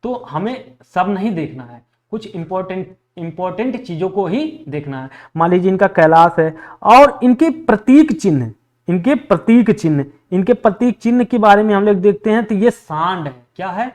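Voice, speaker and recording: A man's voice: high-pitched, lively intonation, moderate pace, darkish tone. A man teaching an exam lesson, repeating key facts and asking questions that he answers himself. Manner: repetitive, asks questions and answers them himself. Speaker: history teacher for exam preparation. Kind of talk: classroom lecture drilling facts for exams.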